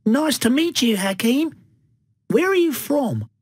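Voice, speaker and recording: A high-voiced young schoolboy. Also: female voice, very animated, takes it slowly, a little mellow, slightly rough, dry recording, clean studio sound, full character voice. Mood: friendly. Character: friendly young schoolboy from Australia. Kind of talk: textbook dialogue recording.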